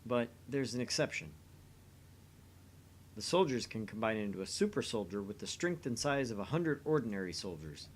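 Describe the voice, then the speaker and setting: A man's voice: medium pitch, very animated, normal speed, slight background noise. A man doing an acted reading of dialogue and narration from a visual novel game. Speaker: voice reader in a visual novel playthrough.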